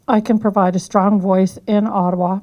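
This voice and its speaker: A woman candidate giving a hesitant campaign speech, clearing her throat now and then. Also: medium pitch, level tone, normal speed, warm tone. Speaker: political candidate. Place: community hall.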